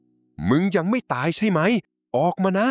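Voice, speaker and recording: A man's voice: fairly high pitch, huge pitch swings, measured pace, no echo, studio quality, faint music behind, voice pushed up. Man voicing a shrieking, jealous, cruel young wife. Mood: hysterical rage. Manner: shouting, imperious, frantic. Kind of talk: Thai folk tale acting.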